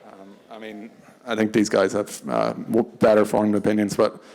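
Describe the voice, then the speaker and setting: Low, creaky-voiced man, halting delivery. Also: level tone, a little mellow. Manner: hesitant, halting, restarts often. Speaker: panelist from a tech company. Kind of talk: unscripted panel discussion.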